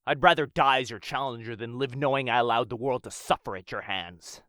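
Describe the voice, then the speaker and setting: A man playing a gentle but brave immortal lover in a fantasy audio roleplay, tender and hushed with his beloved, impassioned when defiant. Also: mid-range voice, a little fast, no echo, studio quality, lightly acted. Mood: defiant, furious. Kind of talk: boyfriend ASMR fantasy roleplay acting. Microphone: close, dry studio-style mic.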